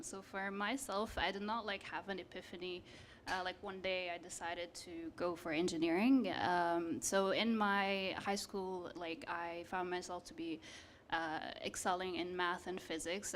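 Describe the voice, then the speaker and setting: A woman's voice: fairly low pitch, slight background noise. A young woman from Egypt, a PhD candidate on a panel, explaining her work with frequent fillers. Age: young adult. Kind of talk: panel discussion.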